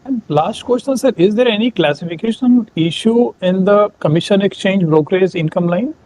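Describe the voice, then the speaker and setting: A man with an Indian accent asking questions as an analyst on a conference call, halting and rephrasing as he goes. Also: high-pitched, a little mellow, rough recording. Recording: faint noise on conference call.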